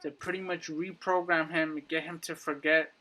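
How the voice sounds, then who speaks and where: A man's voice: a little high, level tone, moderate pace, pushed and bright. A man giving casual, emphatic commentary on video game lore, addressing his viewers directly. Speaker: YouTube gaming content creator.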